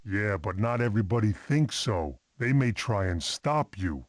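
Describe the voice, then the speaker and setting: An actor voicing an expressive, wisecracking mammoth father-to-be in an animated family game. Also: on the low side, moderate pace, a little hiss or hum, lightly acted. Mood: earnest, wry.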